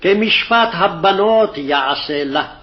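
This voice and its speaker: Old lo-fi tape of a guy with a high voice reciting bible verses formally. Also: animated, quick pace. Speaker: scripture reader. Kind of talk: scripted Hebrew Bible reading. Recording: dull lo-fi archival recording.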